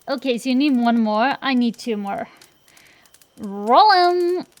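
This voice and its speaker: Brash tomboy dragon girl played by a woman, highish voice, unhurried, teasing and secretly fond, for fantasy ASMR roleplay. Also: animated. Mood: grumbling, bantering. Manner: teasing, blustering, easily flustered. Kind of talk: intimate roleplay ASMR audio drama.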